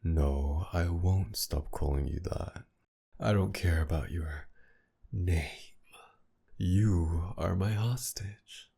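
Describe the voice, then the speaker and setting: A man playing a mocking, menacing masked villain kidnapper in an intimate ASMR roleplay, with a gravelly, breathy murmur that often sinks toward a whisper. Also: deep voice, warm tone, exaggerated voice. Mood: commanding, mocking. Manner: taunting, commanding, slow and teasing.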